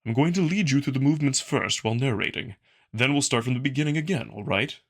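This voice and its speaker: A man's voice: medium pitch, fast, husky. A man voicing a large male beast noble in a visual novel, earnest and theatrical, with a grand, declaiming manner. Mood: patient, earnest.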